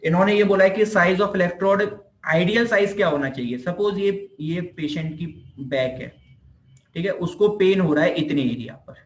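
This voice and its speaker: A man's voice: high-pitched, normal speed, a little hiss or hum. A man with an Indian accent giving an informal explanatory lecture as a physiotherapy teacher, repeating himself and checking that students follow. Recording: roomy echo on the voice.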